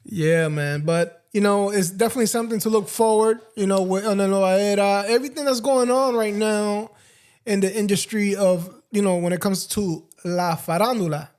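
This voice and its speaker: A young man from the United States co-hosting a relaxed podcast. His voice sits fairly high, and he often trails off and hunts for words while slipping Spanish phrases into his English. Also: normal speed. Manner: searches for words, restarts, mixes in Spanish. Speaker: podcast co-host. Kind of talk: casual chat.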